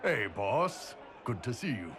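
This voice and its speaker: An actor playing a gruff, laid-back male mercenary chief who answers in a deep, deadpan drawl. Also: slow pace, noisy background.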